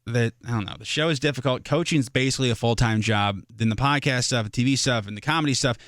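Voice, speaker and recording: A man's voice: medium pitch, quick pace, fairly bright tone, no room sound. A man hosting a morning radio show in casual chat, often restarting his sentences, on a close studio mic.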